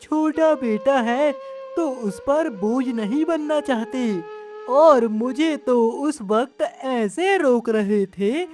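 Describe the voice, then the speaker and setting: Woman voicing a boastful, slow-talking rich son. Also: sits high, a little mellow, voice pushed up. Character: boastful, resentful grown son who got rich in the city. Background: music.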